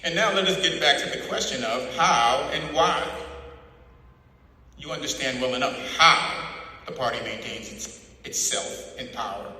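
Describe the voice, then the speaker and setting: A man acting a cold, commanding party inquisitor in a dramatic reading, lecturing with calm, deliberate certainty. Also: medium pitch. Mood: probing, commanding. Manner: slow, deliberate, lecturing, declarative. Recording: very echoey, long hall reverb.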